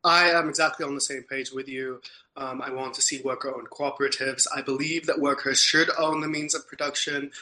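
A man's voice: medium pitch, steady tone, brisk, sharp tone, rough recording. A young man from Turkey, a podcast guest, talking thoughtfully and earnestly about his views, with frequent ums and restarts. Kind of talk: casual interview talk.

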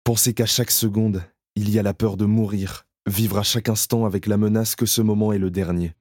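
An actor playing a haunted male survivor in an audio drama, speaking slowly and introspectively in the first person. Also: lowish voice, fairly even intonation, a little mellow, no room sound. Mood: anxious. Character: haunted male camp survivor, numb and fearful.

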